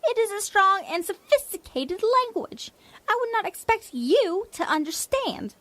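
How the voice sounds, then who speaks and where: A woman's voice: very high voice, sing-song, sharp tone, dry recording, full character voice. A woman voicing a domineering, mocking female leader of a savage tribe in a comedic fantasy audio drama. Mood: haughty, commanding. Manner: mocking, imperious, drawn-out delivery.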